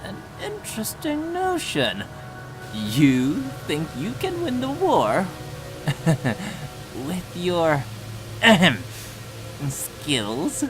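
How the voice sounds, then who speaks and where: A woman's voice: lowish voice, huge pitch swings, very slow, pauses often, heavily acted. A woman playing a gleefully cruel, mocking elderly patriarch in a comic anime parody, with a theatrical, sarcastic delivery. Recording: music behind the voice.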